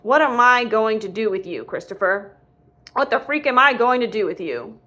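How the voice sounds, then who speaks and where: A woman voicing an angry, exasperated father scolding his son in an audiobook, in a forceful manner. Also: on the high side, animated, normal speed, highs cut off. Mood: angry exasperation. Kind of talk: audiobook character dialogue.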